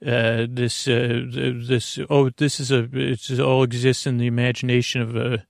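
A man hosting a sleep podcast, improvising a halting, meandering monologue that keeps interrupting itself. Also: mid-range voice, little pitch movement, creaky, dry recording, clean studio sound. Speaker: podcast host.

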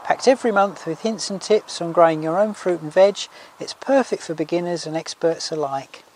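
A woman's voice: on the low side, moderate pace, a little mellow, slight background noise, rough recording. A woman reading a scripted promotional voice-over as an advert announcer.

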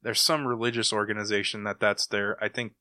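A man's voice: lowish voice, little pitch movement, fairly quick, pushed and bright, dry recording, studio quality. A man co-hosting a podcast in a casual, chatty style. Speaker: podcast co-host. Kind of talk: casual chat.

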